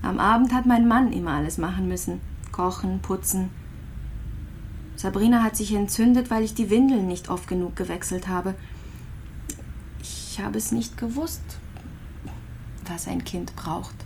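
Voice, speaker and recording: A lonely sick young mom in a radio drama, resigned and self-blaming, mid pitch, faint noise behind. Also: female voice, measured pace, vocal fry, pauses often, a little mellow. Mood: despairing, self-reproachful. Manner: listless, brooding. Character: resigned, lonely young mother, gravely ill and self-reproachful. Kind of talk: radio play acting.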